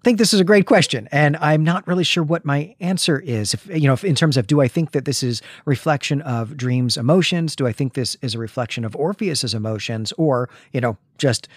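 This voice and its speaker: A man's voice: medium pitch, a little fast, hardly any pauses, husky, no echo, clean studio sound. A man co-hosting a conversational literary discussion, giving wry and opinionated commentary. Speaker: podcast co-host.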